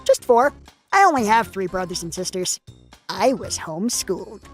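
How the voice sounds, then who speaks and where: A woman's voice: medium pitch, big pitch swings, moderate pace, faint music behind. A woman voicing a proud, dopey teenage soccer boy who boasts earnestly in an anime parody skit.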